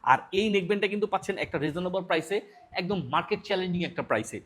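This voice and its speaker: A man with a Bangladeshi accent giving a promotional sales pitch as a product presenter for an online shop. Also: rapid-fire, fairly bright tone.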